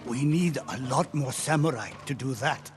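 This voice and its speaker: An actor playing a weary, hard-drinking smuggler in a video game, wry and evasive. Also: male voice, medium pitch, moderate pace, close to an everyday voice. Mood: wary. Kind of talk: cinematic video game dialogue. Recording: music under the dialogue.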